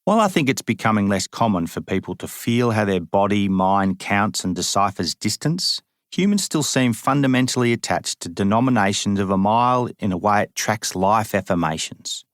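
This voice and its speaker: A man reading a first-person memoir aloud as an audiobook, in an even, measured way with dry humour. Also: fairly low pitch, no echo. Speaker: audiobook reader.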